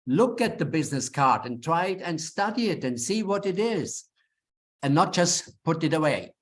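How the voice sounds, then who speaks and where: A middle-aged man from Switzerland with a Swiss German accent, English not his first language, giving earnest, plain-spoken advice in a webinar talk with frequent restarts. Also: on the high side. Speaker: webinar speaker. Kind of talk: advisory talk to lawyers.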